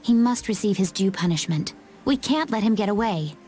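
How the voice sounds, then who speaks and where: An actress playing a composed, resolute young woman warrior with a knowing, measured manner in a fantasy video game. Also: mid-range voice, lively intonation, dark tone, faint music behind, close to an everyday voice. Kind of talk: English game dub acting.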